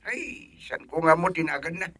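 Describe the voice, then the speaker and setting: A man's voice: fairly high pitch, lively intonation, normal speed, fairly bright tone, dry recording. A man playing a harried husband in a radio drama, explaining an emergency insistently. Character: harried husband phoning home about an emergency.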